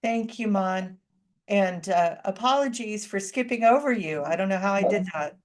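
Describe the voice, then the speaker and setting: A woman moderating a student panel over a video call, speaking calmly and slowly with frequent ums. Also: medium pitch.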